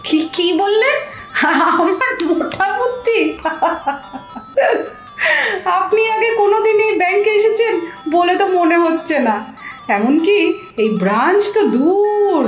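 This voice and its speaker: An exasperated younger bank customer in a comic audio drama, played by a woman, speaks in an extremely high voice. The tongue is sharp and the tone protesting. The sound is roomy, with faint music underneath. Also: expressive, moderate pace. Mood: offended.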